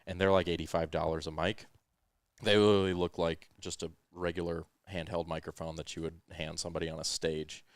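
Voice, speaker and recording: A man with a smooth voice hosting a podcast, giving relaxed, rambling tech explanations full of ums and uhs into a headset microphone. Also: low voice, brisk, no echo, clean studio sound. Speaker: podcast host. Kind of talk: casual explanatory tech chat. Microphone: headset microphone with headphones.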